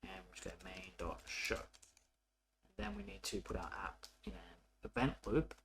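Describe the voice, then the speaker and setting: A man narrating a coding tutorial casually, thinking aloud in an informal, halting way as he works. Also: sits low, sing-song, a bit bright, slight background noise. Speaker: programming tutorial presenter.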